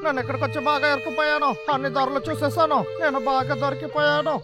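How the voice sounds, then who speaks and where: High-pitched bumbling cartoon logger villain. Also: male voice, flat delivery, quick pace, music in the background. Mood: frantic. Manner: theatrical, talks to himself. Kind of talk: dubbed children's cartoon dialogue.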